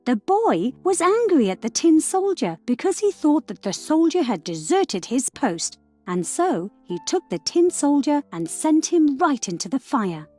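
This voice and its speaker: Animated woman telling a fairy tale. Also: high voice, a little slow, hardly any pauses, faint music behind. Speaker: storyteller. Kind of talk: scripted fairy tale narration for children.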